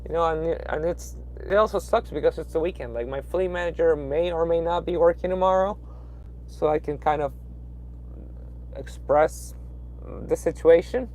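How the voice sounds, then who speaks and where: A man's voice: on the high side, fairly even intonation, moderate pace, darkish tone, a little hiss or hum. A young man from Peru with a Peruvian Spanish accent, chatting casually on a late-night livestream from inside his truck cab, rambling with lots of fillers and restarts. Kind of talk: casual livestream chat reading viewer comments.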